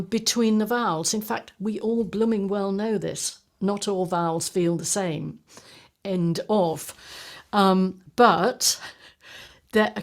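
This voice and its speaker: An older woman voice coach explaining singing technique in a lively, explanatory way, recorded in a home studio. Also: medium pitch. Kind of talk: teaching conversation on singing technique.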